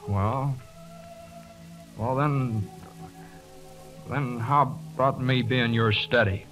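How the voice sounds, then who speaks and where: A man playing a hot-headed, awkward young working man in a halting, folksy way in a 1940s radio drama. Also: mid-range voice, a bit bright, soft background music. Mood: hesitant, tender. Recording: muffled 1940s radio broadcast.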